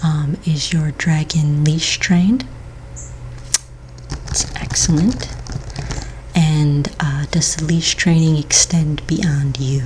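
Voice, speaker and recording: Casting: woman, low soft whispery voice, patient and polite office manager for ASMR roleplay, with background noise. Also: steady tone, takes it slowly, long pauses, poor audio. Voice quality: soft, breathy, mostly whispered. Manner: gentle, polite, reassuring clerical patter. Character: courteous, patient female office manager registering pets.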